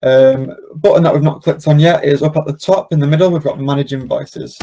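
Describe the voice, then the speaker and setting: A man presenting an informal software walkthrough, explaining casually step by step. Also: a little high, fairly even intonation, a little fast, creaky. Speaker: software demonstrator, webinar presenter. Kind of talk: informal screen-share walkthrough.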